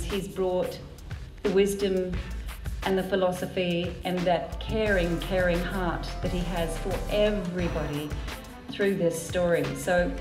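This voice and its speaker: Low-voiced woman speaking earnestly. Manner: earnest, repeating words as she goes. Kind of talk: promotional talk. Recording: roomy recording with music behind.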